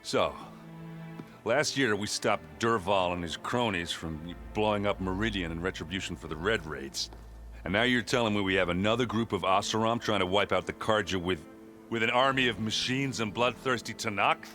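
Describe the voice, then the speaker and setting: An actor playing a gruff, good-natured warrior ally in a video game, blunt and sardonic in an informal way. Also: male voice, on the low side, moderate pace, pushed and bright. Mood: exasperated. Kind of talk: video game dialogue acting. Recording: music behind the voice.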